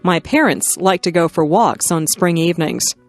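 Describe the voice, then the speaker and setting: A woman with a slightly low voice reads short, simple stories aloud as scripted lesson narration. Her phrasing is careful and orderly, one plain sentence after another. The sound is close and dry, with little room around it. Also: expressive, normal speed, no room sound, faint music behind. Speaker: narrator of an English listening lesson.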